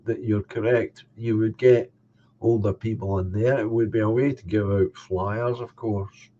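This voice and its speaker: A man's voice: fairly low pitch, fairly even intonation, a little slow, dark tone, highs cut off, a little hiss or hum. An older man with a nasal, slightly congested voice, chatting casually as a stream host and answering viewers' comments one by one. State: coughing, a bit congested. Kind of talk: casual chat.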